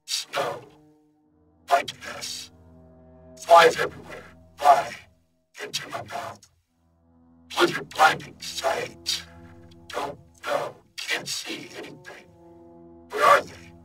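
A performer voicing a lost, disembodied human mind, whispering in broken fragments with sudden loud outbursts, panicked and confused. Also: mid-range voice, wildly swooping pitch, slow, long pauses, over music, heavily acted. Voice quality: mostly whispered, breathy. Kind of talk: horror audio reading.

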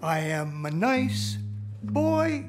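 A man's voice: very animated, very slow, vocal fry, soft background music. An older man performing a playful spoken piece with bits of song on a small cabaret stage. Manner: playful, sings and shouts. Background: bass and audience.

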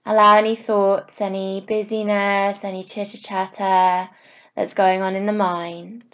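A woman yoga teacher leading a guided meditation, giving soothing, unhurried instructions in short phrases with pauses. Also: mid-range voice, little pitch movement.

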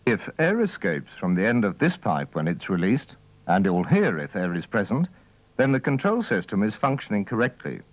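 Male narrator for vintage technical instruction film: methodical, formal, animated pitch movement, dull lo-fi sound. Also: no echo. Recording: dull, dry old training-film soundtrack.

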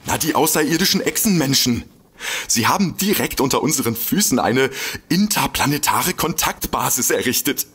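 Excitable, husky amateur detective. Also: male voice, medium pitch, normal speed. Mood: excited. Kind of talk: comic audio drama acting.

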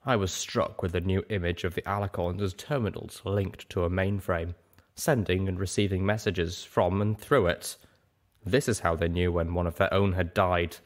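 Low-pitched man narrating a story. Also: fairly even intonation, normal speed. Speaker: audiobook narrator. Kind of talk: scripted first-person story narration.

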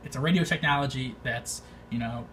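A man giving his opinions in an informal vlog, thinking aloud in a hesitant, halting way. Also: mid-range voice. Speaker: amateur radio video maker. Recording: distant mic, faint background noise.

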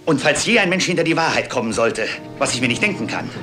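Embittered retired commander: older villain, mid-pitched, highly animated, formal and self-important, music underneath. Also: male voice, quick pace. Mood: menacing. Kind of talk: German detective radio play acting.

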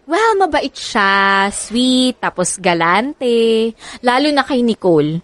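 Anxious young single mom, Filipino radio drama; high, very expressive voice, dry studio sound. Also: female voice, slow pace, dry recording, full character voice. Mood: hesitant.

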